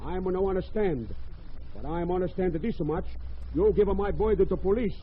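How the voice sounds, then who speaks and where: A man's voice: sits high, normal speed, lightly acted. An actor playing an agitated, indignant immigrant father speaking broken English in an old-time radio drama. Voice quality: broken English with foreign-sounding grammar. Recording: muffled, noisy vintage radio recording.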